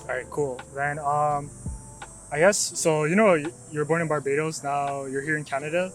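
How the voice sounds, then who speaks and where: A man's voice: fairly high pitch, normal speed, faint music behind. A young man hosting a casual interview, chatting informally as he asks questions. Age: young adult. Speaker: interviewer and video host.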